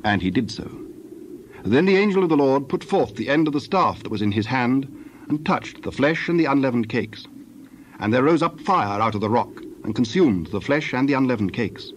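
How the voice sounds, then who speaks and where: A man narrating scripture from a script in a measured, formal manner. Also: medium pitch, expressive, slight background noise.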